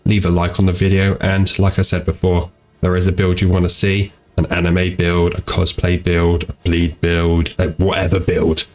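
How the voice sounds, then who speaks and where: Deep-voiced, stuffy-nosed gaming host. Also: male voice, completely flat delivery, moderate pace, a little mellow, faint music behind. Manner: easygoing and rambling, repeats "go ahead". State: congested and tired from a cold. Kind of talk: casual commentary over gameplay. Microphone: home desk microphone.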